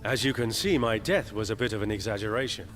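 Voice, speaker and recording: Wry space mercenary pilot. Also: male voice, lowish voice, lively intonation, quick pace, a bit bright, faint music behind. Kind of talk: scripted video game cutscene dialogue.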